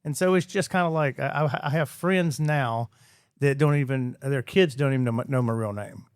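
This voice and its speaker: A man's voice: mid-range voice, moderate pace, smooth, no echo. Male radio guest, chatty rambler, dry studio sound. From Georgia, US. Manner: rambling, repeats words, many fillers. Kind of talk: casual interview chat.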